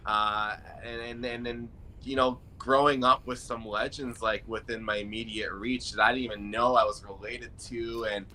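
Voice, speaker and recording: A man's voice: mid-range voice, little pitch movement, moderate pace, few pauses, pushed and bright, poor audio. A young man from Toronto, a guest rapper talking in an easygoing, enthusiastic way and repeating words as he thinks. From Toronto, Canada. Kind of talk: casual interview chat.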